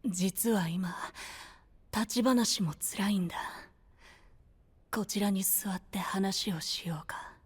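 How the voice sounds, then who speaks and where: A man voicing a frail, gentle young male knight who speaks softly and half in whispers, over background music. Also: high-pitched, slow, exaggerated voice. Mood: weary. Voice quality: soft, breathy, often whispered. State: weak and tired. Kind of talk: anime voice drama acting.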